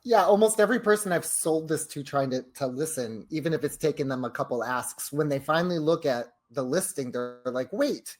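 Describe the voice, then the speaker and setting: A man's voice: fairly high pitch, expressive, brisk, few pauses. A man speaking conversationally as a co-host in a casual podcast discussion.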